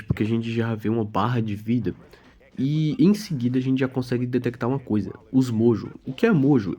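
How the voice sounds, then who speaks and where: A young Brazilian man reads a video game review voice-over on a home mic, casual and slangy with quick joking asides. His voice is on the mellow side, sitting in a middling pitch for a man. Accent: Brazilian Portuguese.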